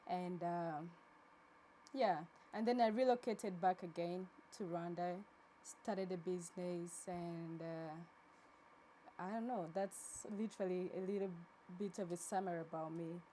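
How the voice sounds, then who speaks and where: A woman in her thirties from Uganda, a guest on an interview, telling her story in a relaxed, soft-spoken way. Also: fairly low pitch, measured pace, a little hiss or hum, rough recording. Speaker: interview guest, charity co-founder. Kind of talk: casual interview.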